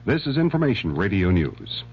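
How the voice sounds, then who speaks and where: A man reading a 1970s radio news bulletin in a husky voice. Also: on the low side, big pitch swings, normal speed, soft background music. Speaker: radio newsreader. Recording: dull old radio recording.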